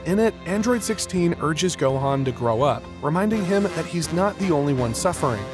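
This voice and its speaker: A man's voice: on the high side, animated, normal speed. A man reading a scripted video review as its narrator. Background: music.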